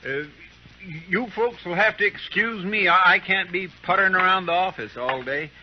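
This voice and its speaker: High-pitched, folksy marshal's helper played by a man. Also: normal speed, lightly acted. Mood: awkward, polite. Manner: folksy, eager, hesitant. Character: fussy, deferential frontier marshal's helper. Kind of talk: old-time radio western acting. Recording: dull, noisy old radio recording.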